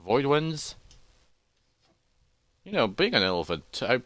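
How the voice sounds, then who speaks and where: A man's voice: medium pitch, big pitch swings, takes it slowly, fairly bright tone, no room sound. A man voicing an anxious, easily distracted elephant adventurer who mutters to himself with repeats and hesitations. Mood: rambling, distracted. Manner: fretful self-talk with repeats and hesitations.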